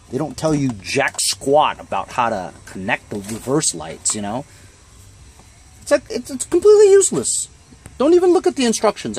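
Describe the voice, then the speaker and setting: A man's voice: fairly high pitch, husky. A man talking casually through a hands-on how-to in a blunt, colloquial, grumbling way. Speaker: DIY how-to video maker. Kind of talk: casual hands-on tutorial chat. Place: in and around a cargo van.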